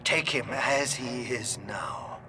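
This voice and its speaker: A man's voice: medium pitch, takes it slowly, lightly acted. A male actor playing a flamboyant, unhinged, sadistic artist in a video game, with a theatrical, grandiose delivery. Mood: commanding, grandiose. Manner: theatrical, grandiose, swinging from hushed whispers to outbursts. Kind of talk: dramatic video game monologue. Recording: loud music and noisy ambience underneath.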